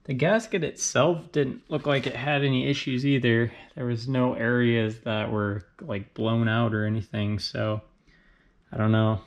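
A man's voice: medium pitch, fairly even intonation, unhurried. A man in his thirties talking casually to the camera as he takes apart a car engine in his home garage, thinking aloud with fillers and trailing doubts. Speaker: car channel host. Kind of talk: casual garage commentary while working. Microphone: action camera on body and tripod.

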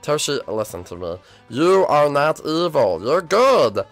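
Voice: mid-range voice, very animated, a little slow, soft background music. A performer voicing a young male prince who pleads and explains, hesitant and full of fillers, in a homemade toy show. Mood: pleading. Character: young male turtle-dragon prince, the villain's son.

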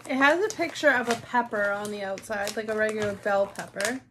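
A woman's voice: mid-range voice, a little fast, noisy background. A woman in her thirties from the United States, chatting enthusiastically as she tastes and reviews snacks with a co-host, talking between bites. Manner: chatty, enthusiastic, talks while eating. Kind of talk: casual snack tasting chat. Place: indoor room before a glitter backdrop.

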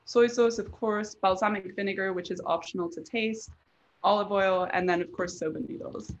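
A woman's voice: mid-range voice, fairly even intonation, moderate pace. Woman presenting, slightly dull lo-fi audio. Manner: explanatory, informal. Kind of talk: conversational presentation over slides. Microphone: online video presentation. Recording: dull, lo-fi online-call sound.

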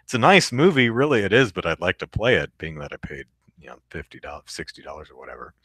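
A man with a deep voice hosting a live podcast, reading the chat aloud and rambling casually with many fillers and restarts. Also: very animated, fast talker, a bit bright, breathy edge, no room sound.

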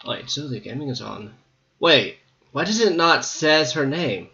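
A man plays a game and chats casually, drifting off into giggles and muttered asides. His voice sits at an ordinary pitch and sounds a bit hoarse. He speaks slowly, and the dull, lo-fi desktop mic takes the edge off his voice. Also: expressive, sharp tone. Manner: giggly, distracted, talks to himself. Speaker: gaming video creator. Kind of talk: casual gameplay commentary.

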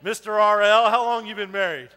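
A man in his forties preaching an informal sermon in a church, talking loudly and animatedly while he walks among the audience. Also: sits high, fairly quick. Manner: loud and animated, walking the stage and aisles. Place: church sanctuary with a stage. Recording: long reverb of a church hall.

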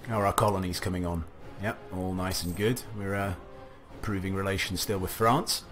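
A young man casually commentating a strategy game, thinking aloud, his voice a little gravelly from a recent cough. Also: low-pitched, steady tone, brisk, a bit bright. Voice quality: slightly gravelly. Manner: musing aloud, chatty, self-talking. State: recovering from a cough, slight gravel. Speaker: let's play commentator. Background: faint game music.

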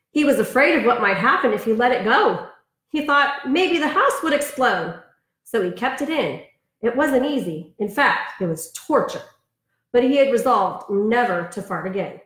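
Higher-voiced woman reading to children, roomy echo. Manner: expressive storytelling with chatty asides. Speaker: elementary school storyteller. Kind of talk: read-aloud storytime for children. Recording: roomy, echoing sound.

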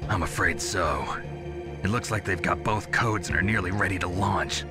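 A man playing a gruff, stoic captured soldier in a video game, terse and deadpan with a gravelly voice. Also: low-pitched, moderate pace, over music, lightly acted. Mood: grim. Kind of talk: dramatic video game acting over radio calls.

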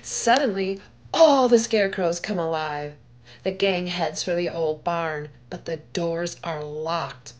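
An older woman reading a children's picture book aloud in an expressive, dramatic way. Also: fairly low pitch, takes it slowly.

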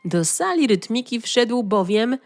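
A woman narrating a children's audio story in an expressive, animated way. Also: a little high, unhurried, slight background noise.

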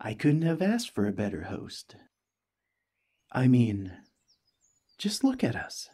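A man voicing a cheerful, friendly alien parasite in a gentle, chatty ASMR roleplay, eager and reassuring. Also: mid-range voice, wildly swooping pitch, slow pace, husky, exaggerated voice. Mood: cheerful, affectionate. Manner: gentle, chatty, playfully earnest.

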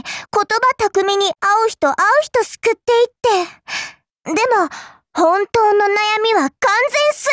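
A woman's voice: very high voice, dry recording, studio quality. A woman voicing a small, cute, sly girl spirit in an anime game, speaking politely with coy, smug teasing. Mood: wry, grumbling. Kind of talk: anime game character voice lines.

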